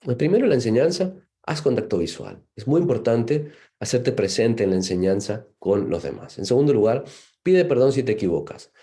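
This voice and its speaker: Mellow-voiced Argentine man lecturing. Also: mid-range voice, expressive, normal speed. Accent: Argentine Spanish. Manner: thoughtful, many fillers and rhetorical "¿no?" tags. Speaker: lecturer and psychologist. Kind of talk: seminar lecture.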